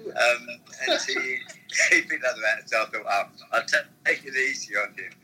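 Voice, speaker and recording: An older man being interviewed, with a very bright tone and a husky edge to his voice. He reminisces in long, rambling anecdotes. He talks like a former football manager looking back. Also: medium pitch, moderate pace, low-quality recording.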